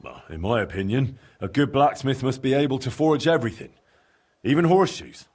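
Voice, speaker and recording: An earnest, forthright young master blacksmith from a medieval game. His voice sits at a middle pitch with animated intonation, speaking politely and naturally. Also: fairly quick, husky. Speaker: video game protagonist.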